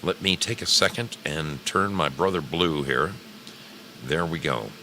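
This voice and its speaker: A man's voice: extremely low pitch, a little slow, sharp tone, a little hiss or hum. A man hosting a live stream, greeting listeners effusively in casual, slangy chat. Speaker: live-stream host of an old-time radio program.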